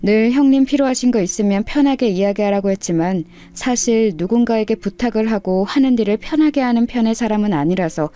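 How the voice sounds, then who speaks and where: A woman's voice: medium pitch, mellow. A woman reading a scripted first-person life story in a radio story style. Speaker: storyteller narrator.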